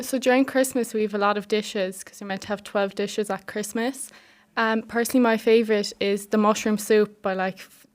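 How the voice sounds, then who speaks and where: Older teen girl, student guest, chats casually, medium pitch. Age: teens. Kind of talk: casual interview answers.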